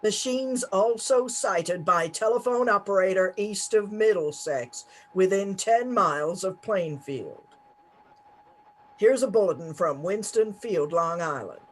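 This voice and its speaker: High-pitched radio news announcer played by a man. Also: animated, unhurried, low-quality recording. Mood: grave, urgent. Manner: formal, telegraphic reading. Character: urgent, formal radio news announcer reading bulletins. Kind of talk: 1930s radio news acting.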